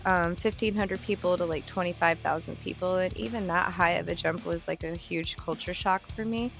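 A woman in her thirties hosting a casual chat, telling lively stories, swearing freely and laughing often. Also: fairly low pitch, moderate pace, vocal fry, soft background music. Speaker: podcast host.

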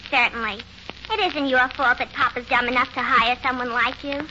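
An actress playing the plain, tart and gullible daughter of a tavern owner in 1940s radio comedy style. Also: female voice, high voice, fairly even intonation, moderate pace, sharp tone. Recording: muffled, noisy 1940s radio recording.

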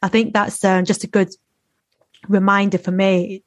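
A woman's voice: medium pitch, little pitch movement, no echo. A woman in her thirties hosting a podcast in casual chat, chatty and gushing. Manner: chatty, gushing, quick to laugh. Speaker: podcast host.

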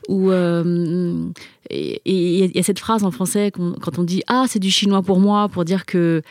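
A woman's voice: on the low side, animated, normal speed, a little mellow, no echo, clean studio sound. A young adult woman from France whose first language is Chinese, talking casually and hesitantly, with many fillers and repeated starts. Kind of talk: casual conversational chat.

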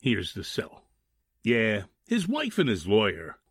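Husky, swooping, folksy prison guard played by a man. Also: fairly low pitch, wildly swooping pitch, takes it slowly, dry recording, clean studio sound, full character voice. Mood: gruff, obliging. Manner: folksy, colloquial. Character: plain-spoken, obliging prison guard. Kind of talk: 1940s radio drama acting.

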